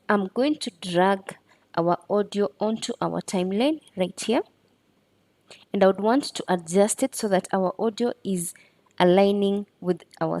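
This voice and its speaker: A woman's voice: medium pitch, mellow, no echo. A woman presenting a step-by-step screen tutorial in an instructional way.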